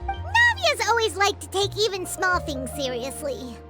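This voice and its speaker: A woman voicing a small, excitable, childlike fairy companion with a squeaky voice in an English video game dub. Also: a little slow, exaggerated voice. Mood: curious. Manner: speaks of self in third person. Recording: music behind the voice.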